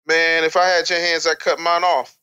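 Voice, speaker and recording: A man's voice: on the high side, little pitch movement, moderate pace, bright, forceful tone, clean tone, highs cut off. A male interview guest explaining his opinions bluntly and wryly, with emphatic lists and rhetorical questions. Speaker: interview guest, author and radio producer.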